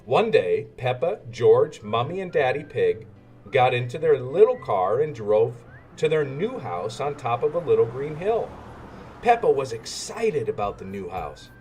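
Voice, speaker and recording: A man narrates a children's storybook with a very lively, swooping melody. He takes his time over each phrase, with music playing behind him. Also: medium pitch. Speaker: storybook reader.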